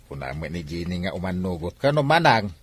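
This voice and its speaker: An actor playing an impatient, exclamatory adult son in a radio family melodrama. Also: male voice, deep voice, very animated, brisk, fairly bright tone, breathy edge, exaggerated voice. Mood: agitated. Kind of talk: radio drama acting. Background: dramatic music.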